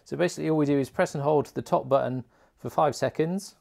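A man's voice: on the high side, fast, gravelly, a little mellow. A man hosting a motorcycle gear video, walking through an informal product tutorial in a chatty, colloquial way.

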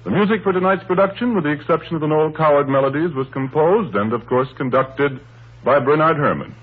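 A man's voice: a little high, fairly quick, breathy edge. A man reading scripted announcements in formal, polished 1930s radio style. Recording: tinny, band-limited 1930s radio broadcast. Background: faint music.

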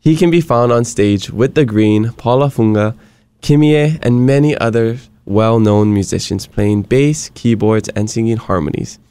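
A man's voice: mid-range voice, unhurried, warm tone, smooth. A young man from Hawaii hosting a casual podcast interview in a small studio, upbeat and joking, moving between English and Hawaiian. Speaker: podcast host. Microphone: studio mic on boom arm.

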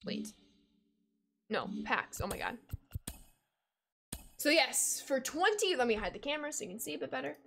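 Chatty young woman streamer, slightly high. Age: 20s. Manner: chatty, reads chat aloud, bursts of excited gasps and laughs. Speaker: gaming streamer. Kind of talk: casual live-stream chat while playing. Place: home room at a desk.

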